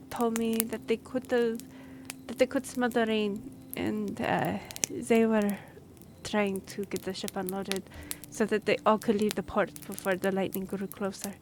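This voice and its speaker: Young half-elf noblewoman, played by a woman, fantasy audio roleplay: earnest, courtly, slightly awkward, unhurried delivery over faint background noise. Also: medium pitch, steady tone, a little mellow, close to an everyday voice. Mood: measured, explanatory. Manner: formal, hesitant, measured. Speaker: voice actor in a roleplay audio drama. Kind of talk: fantasy roleplay audio acting, addressing the listener.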